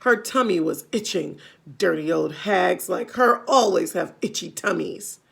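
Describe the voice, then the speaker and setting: Female children's storyteller: unhurried, creaky, expressive, with simple explanatory asides. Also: fairly low pitch. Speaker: read-aloud storyteller.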